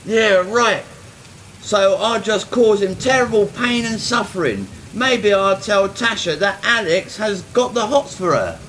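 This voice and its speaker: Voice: medium pitch, expressive, a little slow, background noise, natural voice. An amateur actor, male, playing an angry teenage boy trapped in a haunted house in a radio play. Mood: annoyed, sarcastic.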